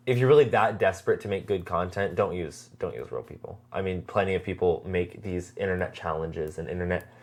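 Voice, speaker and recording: A podcast co-host chatting casually about a series in an earnest, halting way, often repeating words while forming thoughts. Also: low-pitched, a little mellow. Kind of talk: casual chat reviewing a web horror series.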